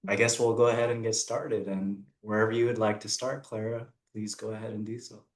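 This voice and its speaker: A man hosts a podcast interview in a lowish voice. He speaks in a halting, earnest way, restarting his sentences. The recording has a roomy echo. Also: flat delivery. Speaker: podcast host, forest therapy guide. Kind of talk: casual interview intro.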